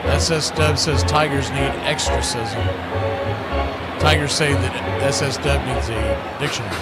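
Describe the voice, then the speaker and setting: A man's voice: mid-range voice, unhurried, loud music behind the voice. A man doing live radio-style baseball play-by-play in clipped, punchy phrases with folksy slang.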